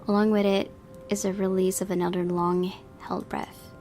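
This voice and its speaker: A woman with a medium-pitched voice reads a horror visual novel aloud and adds her own commentary. Faint music plays behind her. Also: little pitch movement, normal speed, dark tone. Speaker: gaming video host.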